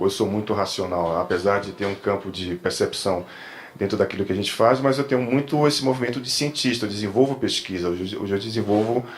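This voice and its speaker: Low-voiced Bahian man lecturing, background noise. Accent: Brazilian, from Bahia. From Salvador, Brazil. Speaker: therapist and trainer giving a talk. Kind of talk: informal explanatory lecture. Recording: clear background room noise.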